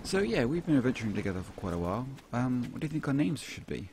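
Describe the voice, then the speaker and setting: A man's voice: mid-range voice, quick pace, creaky, background noise. A man casually chatting and joking while playing a co-op game with a friend. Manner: playful, jokey, lively exclamations. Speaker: gaming channel host. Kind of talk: casual co-op gameplay chat.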